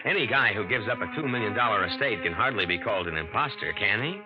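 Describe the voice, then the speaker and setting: A nervous young impostor, played by a man confides his story in a low, lively, hard-boiled voice. The sound is dulled like an old broadcast, with music playing clearly underneath. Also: fast, natural voice. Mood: tense. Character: young male impostor, nervous but clever. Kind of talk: first-person radio drama narration. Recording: muffled 1950s radio drama with music.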